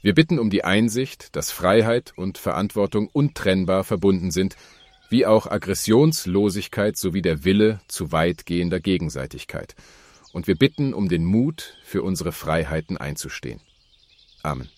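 A man's voice: deep voice, animated, moderate pace, darkish tone, dry recording. A man playing a grumpy, blunt handyman on a farm commune in an audio drama. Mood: solemn. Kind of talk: German audio drama dialogue.

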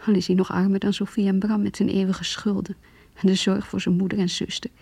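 An actress playing a heartbroken young woman who confides her lost love in an intimate, halting radio play monologue, breathy and hushed. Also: mid-range voice, little pitch movement, no echo. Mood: wistful, envious.

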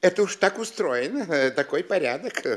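A man's voice: high voice, lively intonation, moderate pace, fairly bright tone. A man playing a servile, smugly boastful hospital overseer in an audio comedy, unctuous and deferential. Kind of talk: classic Russian stage-comedy radio acting.

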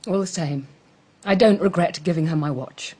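An actress playing a composed, merciful woman who has suffered, in a radio drama, with a quiet manner. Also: lowish voice, moderate pace, husky. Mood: quietly resolute.

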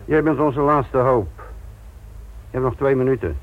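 An actor playing a sardonic, gruff older police instructor in a Dutch radio play, patronising and deliberate in manner. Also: male voice, mid-range voice, natural voice. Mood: gruff, defensive. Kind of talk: Dutch radio drama acting. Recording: thin, narrow-band old radio recording.

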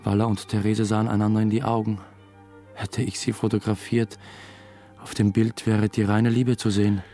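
A man's voice: lowish voice, level tone, normal speed, warm tone, soft background music, natural voice. A young man acting as the earnest, reflective first-person narrator of a radio drama, a new father. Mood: reflective narration. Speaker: narrator and lead character.

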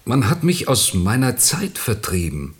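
An actor playing a troubled, brooding man in a German radio play, speaking in an intense, halting, philosophical way. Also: mid-range voice, wildly swooping pitch. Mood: brooding, anguished. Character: troubled, brooding man estranged from time and perception.

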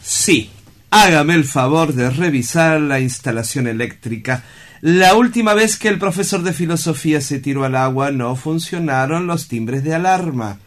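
A man acting a calm, authoritative doctor in a radio drama, formal and reassuring in manner. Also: fairly high pitch, moderate pace, lightly acted. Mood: courteous, authoritative. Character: calm, authoritative male doctor directing a strange institution.

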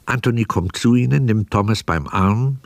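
A man narrating a scripted radio drama in a measured, matter-of-fact manner. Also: on the low side, creaky, no room sound.

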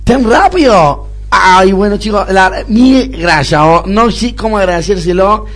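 A man's voice: sits high, animated, measured pace, a bit bright, faint music behind, heavily acted. A man playing a spoiled, snobbish young Argentine businessman in a radio comedy-drama, whining, stammering and repeating himself. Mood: exhausted, grateful. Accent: Argentine (Rioplatense).

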